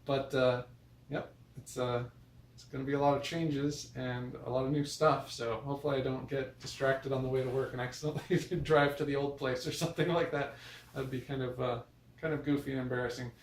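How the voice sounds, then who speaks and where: A man's voice: medium pitch, fairly even intonation, fairly quick. An American man talking in a casual, rambling vlog style, hesitant and often correcting himself as he goes. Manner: hesitant, meandering, self-correcting. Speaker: video blogger. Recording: roomy, distant mic with noise.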